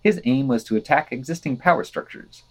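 A man's voice: mid-range voice, animated, a little fast. A man co-hosting a podcast, reading scripted news items and quotations with dry, wry asides.

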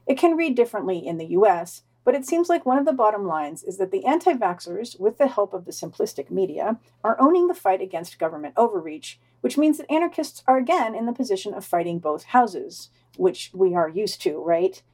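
A woman's voice: medium pitch, animated, fairly quick, few pauses, a little mellow. A woman co-hosting a podcast, reading news summaries and long quotes with wry, dry asides. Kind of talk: casual news roundup with read-out quotes.

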